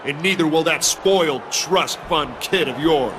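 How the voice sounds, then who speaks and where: A man playing an arrogant, menacing wrestling champion in a video game, cocky, boastful and deliberate. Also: on the high side, background noise, close to an everyday voice.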